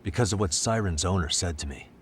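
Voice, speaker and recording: An actor playing a calm, sharp detective in an English game dub, measured and thoughtful as he reasons through a case. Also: deep voice, a little hiss or hum, close to an everyday voice. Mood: pensive. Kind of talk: English video game dub cutscene acting.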